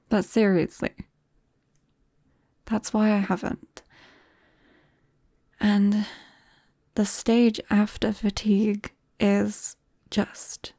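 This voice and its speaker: Soft, slow British woman as a shy young vampire. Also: mid-range voice, no room sound, clean studio sound. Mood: sheepish, earnest. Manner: soft-spoken, hesitant, often dropping into whispers. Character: shy, embarrassed young female vampire confiding in best friend. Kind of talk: ASMR roleplay, intimate and conversational. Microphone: close microphone.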